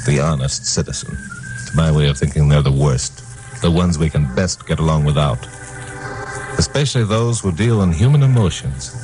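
Deep-voiced hard-boiled radio newsman. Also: moderate pace, rough recording. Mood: hard-boiled indignation. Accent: American. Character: hard-boiled, wisecracking radio newscaster, indignant crusader against crime. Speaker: actor narrating and playing the lead. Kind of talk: 1950s American radio crime drama acting. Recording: 1950s radio recording with music.

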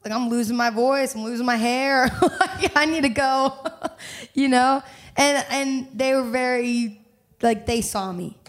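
A young woman speaks with a somewhat high voice, telling her story openly and with animation. She laughs easily as she talks through a casual interview. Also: measured pace. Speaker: singer-songwriter guest.

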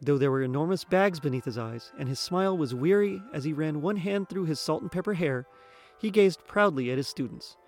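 A man's voice: a little high, expressive, fairly quick, faint music behind. A man reading a scripted story aloud as a guest narrator. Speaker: guest reader and podcaster.

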